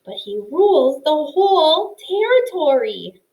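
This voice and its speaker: Woman doing a timid young mouse, high and anxious. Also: animated, a little slow, darkish tone, roomy sound, far from the mic, low-quality recording. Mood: protesting. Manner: nervous, stammering, pleading.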